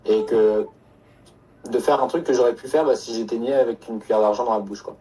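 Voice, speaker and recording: A man chatting casually in a halting, slangy, jokey way. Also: medium pitch, fairly even intonation, mellow, husky, recorded from a distance, poor audio. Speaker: e-commerce student. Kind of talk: casual chat.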